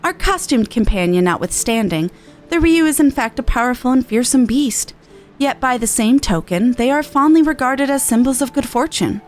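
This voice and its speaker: A woman's voice: sits high, animated, moderate pace. A woman voicing a gracious, formal festival envoy in a fantasy game, with courteous old-fashioned phrasing. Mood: explaining proudly. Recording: game music behind the voice.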